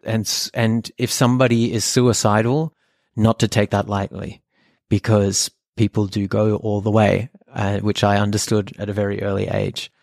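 Earnest low-voiced man telling his story. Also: little pitch movement, moderate pace, gravelly, a little mellow, no room sound, clean studio sound. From Australia. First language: German. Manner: earnest, reflective, many fillers. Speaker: podcast co-host. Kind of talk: personal storytelling.

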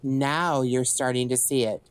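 A woman's voice: a bass voice, steady tone, a little slow, no room sound. A woman acting in an audio drama as a cocky legendary assassin and thief for hire, with smug, theatrical, drawling taunts. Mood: scornful, commanding.

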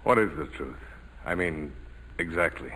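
Low-voiced, hard-boiled American ex-pilot. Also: male voice, animated, normal speed, sharp tone, heavily acted. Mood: grim, subdued. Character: tough, loyal American ex-bomber pilot and leader. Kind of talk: 1940s radio drama acting. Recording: vintage 1940s radio broadcast.

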